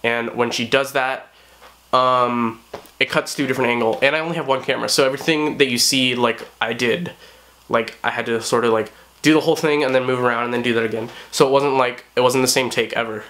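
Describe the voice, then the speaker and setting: A young man with a hoarse voice, talking casually to the camera in a small bedroom, rambling with many fillers. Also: mid-range voice, fairly even intonation, a little fast, fairly bright tone. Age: young adult. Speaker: vlogger. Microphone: camera on tripod at close range.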